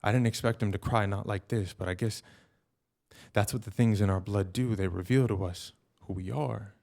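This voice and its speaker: A middle-aged man telling a personal story live on stage, colloquial and animated, with slangy asides. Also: fairly low pitch, normal speed, creaky.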